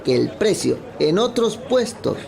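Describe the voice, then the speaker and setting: Man acting a creaky-voiced, suspicious servant. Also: high-pitched, sing-song, a little slow, full character voice. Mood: suspicious, dry. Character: shrewd, suspicious servant haggling at a market stall. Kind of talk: radio drama acting. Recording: busy outdoor crowd behind.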